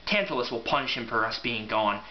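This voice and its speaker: A man's voice: mid-range voice, a little fast, pitched below the performer's own voice, lightly acted. A man reading the part of a stubborn, awkward young demigod boy in a storybook. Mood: insistent. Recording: echoey room, muffled, faint hiss.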